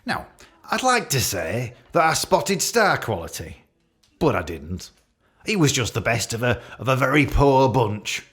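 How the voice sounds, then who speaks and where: A man's voice: medium pitch, sing-song, a little slow, a bit bright. A man playing an awkward, catty office boss with a British accent, giving a hesitant, rambling, jokey leaving speech in a radio play. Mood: hesitant, barbed. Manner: hesitant, repetitive, jokey with barbed asides.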